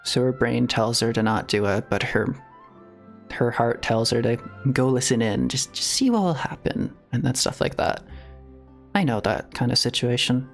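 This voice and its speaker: A man's voice: medium pitch, normal speed, gravelly, soft background music. A man giving casual live game commentary as a streamer, chatty and musing.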